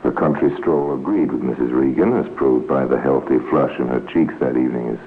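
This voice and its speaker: A tinny vintage radio recording with faint noise. A man with an extremely low voice narrates a crime drama. His delivery is grave and wry, with deliberate, dramatic phrasing.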